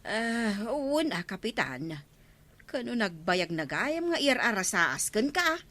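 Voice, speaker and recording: Practical village neighbour, animated intonation, bright clear-cut tone, Ilocano accent, placating, radio comedy drama. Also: female voice, medium pitch, unhurried. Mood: persuasive.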